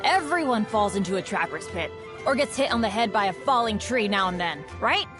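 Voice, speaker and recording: A woman's voice: medium pitch, expressive, a little fast, lightly acted. A woman voicing an upbeat, unlucky teenage boy adventurer in a video game, earnest and boyish. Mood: sheepish. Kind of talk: video game character voice lines. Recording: studio voice over background music.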